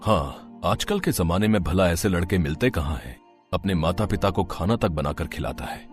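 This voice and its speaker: An easygoing middle-aged father in a domestic audio story, voiced by a man, speaks low and agreeably. Soft music plays underneath. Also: expressive, moderate pace. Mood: approving.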